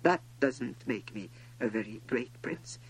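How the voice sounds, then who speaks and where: A man's voice: medium pitch, animated, measured pace, breathy edge, heavily acted. A man voicing a lonely, inquisitive little boy prince in a storybook reading, with an earnest, questioning manner. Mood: sad, reflective.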